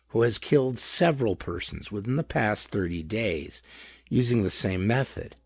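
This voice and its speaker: A man's voice: fairly low pitch, creaky, husky, dry recording, studio quality, heavily acted. A man voicing a chubby, superior male detective in a pulp audiobook, with formal, pedantic, emphatic phrasing.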